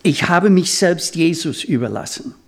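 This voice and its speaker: A man preaching a sermon in a language that is not his mother tongue, deliberate and halting as he rephrases himself. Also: on the high side, animated, vocal fry. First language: not German; speaks German as a second language.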